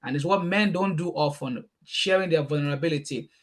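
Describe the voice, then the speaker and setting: Fast, passionate Nigerian man with a raised voice. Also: on the high side. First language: Yoruba. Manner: passionate, fast and emphatic, repeating words when excited. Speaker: guest speaker. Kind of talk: panel talk answering questions.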